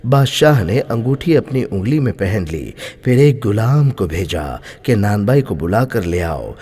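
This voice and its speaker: Husky, reverberant male storyteller. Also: lowish voice, very animated, measured pace, mellow. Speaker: storyteller and narrator. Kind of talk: scripted moral story narration. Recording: long hall-like reverb.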